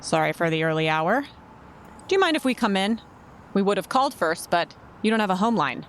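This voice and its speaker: A woman's voice: medium pitch, very animated, natural voice. Actress as composed female agent, background noise. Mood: businesslike. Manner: polite, official, measured. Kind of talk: naturalistic fiction podcast acting.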